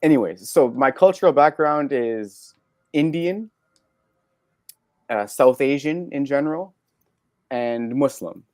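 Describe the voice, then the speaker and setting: A man with a Canadian accent, a podcast guest telling personal stories candidly, halting and correcting himself in an animated way. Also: mid-range voice, no echo, clean studio sound. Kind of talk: candid personal storytelling in conversation.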